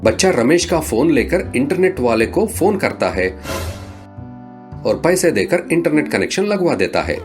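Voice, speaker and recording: A man's voice: on the high side, very animated, normal speed. A man narrating a scripted comedy story as a storyteller. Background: background music.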